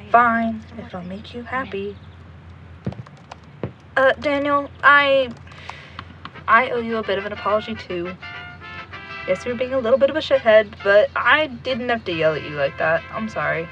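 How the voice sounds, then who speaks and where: A woman's voice: fairly high pitch, measured pace, long pauses, sharp tone, muffled recording, soft background music. A woman playing a blunt, sarcastic young woman in a naturalistic audio drama. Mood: grudging. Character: blunt, sarcastic young woman, grudgingly apologetic sister-in-law.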